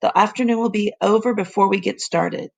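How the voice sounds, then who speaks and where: An eccentric older gentleman professor from a children's storybook, acted out by a woman reader. He is boisterous and playful, exclaiming heartily, with a gravelly edge to the voice. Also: medium pitch, a little fast. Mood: breezy, assured.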